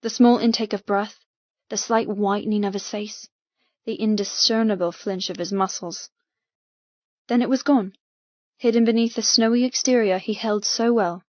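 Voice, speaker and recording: Female audiobook narrator, mid-pitched, expressive and deliberate with dramatic intensity; close, dry, slightly muffled recording. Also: dry recording. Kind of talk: dramatic first-person fiction narration. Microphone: close mic in dry room.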